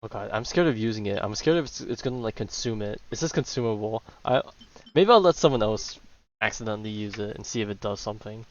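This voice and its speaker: A man's voice: on the low side, animated, fast talker, highs cut off. A man giving casual, slangy gameplay commentary, thinking aloud. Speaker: gamer playing through and commenting.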